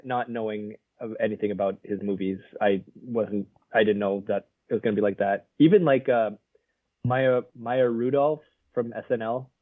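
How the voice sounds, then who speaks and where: A man's voice: lowish voice, fairly even intonation, moderate pace, no echo. A man co-hosting a casual film review podcast, rambling with lots of fillers and frequent laughs. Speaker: podcast co-host. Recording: narrow phone-like sound.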